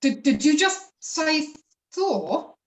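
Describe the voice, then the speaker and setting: A woman playing a strict yet humble peasant farmer parent, measured and polite, in a play reading. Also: high voice, sing-song, full character voice. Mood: nervous. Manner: measured, deferential, hesitant. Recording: roomy echo, distant mic.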